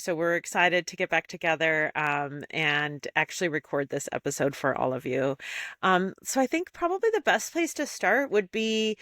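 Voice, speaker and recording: A woman hosts a podcast interview with upbeat enthusiasm. Her voice sits at a middle pitch. It sounds dry and close, with no room echo, over a video call. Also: fairly bright tone. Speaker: podcast host.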